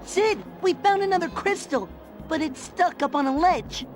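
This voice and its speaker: High, brash little cartoon critter. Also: female voice, normal speed. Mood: excited. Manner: brash, excitable, teasing. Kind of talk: animated video game acting. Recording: music behind the voice.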